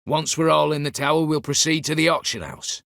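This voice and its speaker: Vengeful heist ringleader, game character, forceful edge, very dry studio sound, clipped commands, old-fashioned wording. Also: male voice, fairly high pitch, fairly quick, creaky, no room sound. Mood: brisk, commanding. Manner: clipped, commanding, archaic turns of phrase. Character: shrewd, vengeful man masterminding a vault heist. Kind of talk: dramatic video game voice acting.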